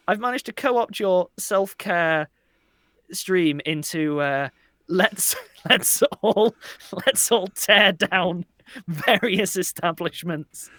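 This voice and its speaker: Higher-voiced man, dry close sound. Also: takes it slowly, pushed and bright, dry recording. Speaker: interview guest. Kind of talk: casual chat.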